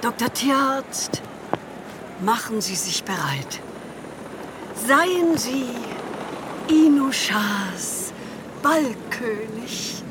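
A woman playing a stern, grandiose female president in an audio drama, with measured authority. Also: a little high, big pitch swings, full character voice. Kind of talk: dramatic audio play acting. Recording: loud helicopter and vehicle noise.

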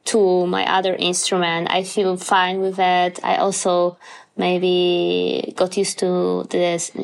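A woman's voice: mid-range voice, gravelly. A young woman from Poland with a Polish accent, a musician answering interview questions in English with many fillers and restarts. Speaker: musician guest.

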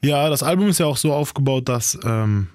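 A young-sounding man talks loosely and rambles, dropping slang all the time. His voice sits at an everyday middle pitch. The sound is dry and close, with no room around it. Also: animated, brisk, a little mellow, no room sound. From Germany. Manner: slangy, rambling, says "Bro" often. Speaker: rapper. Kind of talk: casual interview chat.

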